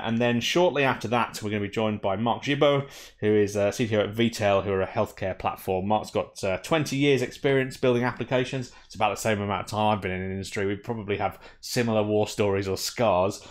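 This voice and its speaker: A man in his forties hosts a live-streamed tech meetup with a slightly low voice. He talks chattily, with lots of uhs and ums. He drops in wry asides along the way. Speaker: meetup organiser and host.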